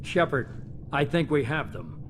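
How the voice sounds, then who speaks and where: A man playing a calculating, authoritative spymaster in a video game, speaking in a measured, commanding way. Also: on the high side, noisy background, lightly acted. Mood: grave, calculating. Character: calculating, authoritative middle-aged male spymaster boss.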